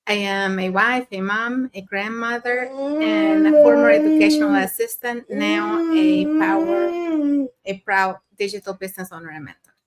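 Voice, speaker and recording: An older woman from Mexico speaking on a live online talk over a video call, sharing her own experience in a warm, reflective way. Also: high voice, moderate pace. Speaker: panelist.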